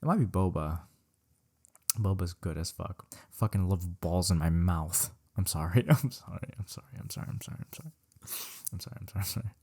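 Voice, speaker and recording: A young Californian man talks loosely through a list of questions, his voice a touch low and mellow. He swears freely, tacks "bro" onto his sentences and corrects himself mid-thought. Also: normal speed, breathy edge. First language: Vietnamese. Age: young adult. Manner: slangy and profane, says "bro" a lot; rambling, self-correcting, laughs at himself. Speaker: solo podcast host. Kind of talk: casual solo Q&A chat.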